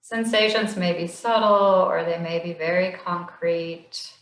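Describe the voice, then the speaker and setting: A woman slowly leading a guided body scan meditation in a gentle, soothing voice with long pauses. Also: on the low side, fairly even intonation, reverberant, rough recording. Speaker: meditation instructor.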